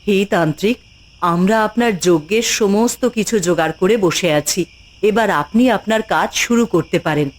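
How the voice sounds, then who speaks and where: A woman's voice: mid-range voice, moderate pace, natural voice. A woman playing an anxious, devoted queen and mother in a cartoon fairy tale, speaking earnestly and formally. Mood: concerned.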